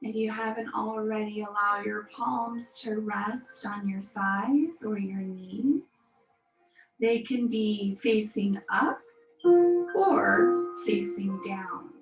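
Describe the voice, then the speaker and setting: A woman teaching yoga, leading a guided meditation in a soothing, unhurried, gently instructive manner. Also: mid-range voice. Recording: roomy echo, music behind. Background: music.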